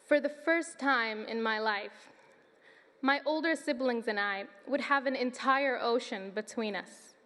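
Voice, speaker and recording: A nineteen-year-old woman from Sudan, giving an earnest, measured student speech at a graduation ceremony. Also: on the high side, bright, forceful tone. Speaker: student commencement speaker. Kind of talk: prepared speech. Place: large hall. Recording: long reverb of a large hall.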